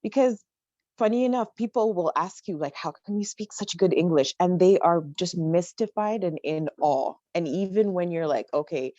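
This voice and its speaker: A woman's voice: fairly low pitch, animated, normal speed, dark tone, dry recording. A teenage girl speaking reflectively and wryly as a student panelist over a video call. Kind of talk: casual panel discussion. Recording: dry muffled video call audio.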